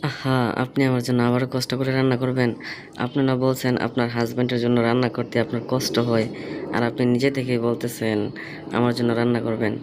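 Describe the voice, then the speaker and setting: A man's voice: mid-range voice, monotone, fast talker. A man in a casual phone conversation, asking probing questions and explaining himself at length. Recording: phone call recording with faint noise.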